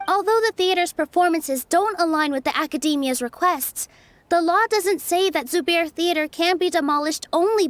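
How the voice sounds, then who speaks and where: A woman voicing a young female dancer who argues her case earnestly and firmly. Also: extremely high pitch. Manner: earnest, firm in argument. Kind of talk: game voice acting.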